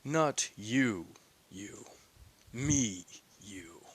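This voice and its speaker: A mysterious warrior spirit that speaks from deep inside the hero's mind in a low, hushed and cryptic voice. Each word is slow and deliberate, as a man puts him on in a story reading. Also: lively intonation, husky, full character voice.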